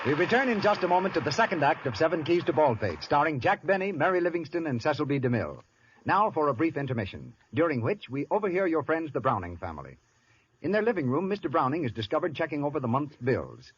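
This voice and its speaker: A man's voice: on the high side, big pitch swings, quick pace, slight background noise. A man working as a 1930s radio announcer, reading scripted narration and advertising copy. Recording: dull old radio broadcast.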